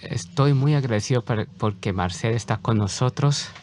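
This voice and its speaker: Man interpreting a sermon, halting delivery. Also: mid-range voice, a little mellow, a little hiss or hum. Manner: halting, with frequent fillers. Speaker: church interpreter.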